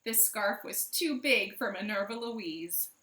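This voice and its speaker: Animated, bright-voiced woman hosting children's storytime. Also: mid-range voice, measured pace. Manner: playful, asks the child viewers questions. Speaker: library storytime host. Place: living room at home. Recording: roomy home echo.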